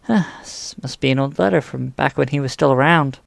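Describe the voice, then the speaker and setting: A man's voice: mid-range voice, fairly quick, gravelly, no echo. An actor playing a jittery male warehouse night guard in an audio drama. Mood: musing.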